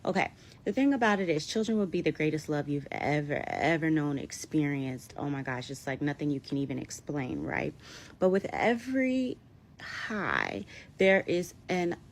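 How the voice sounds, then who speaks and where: A woman's voice: sits low, animated, moderate pace. A young woman giving a blunt, emphatic, colloquial monologue for social media, in a noisy played-back clip. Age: young adult.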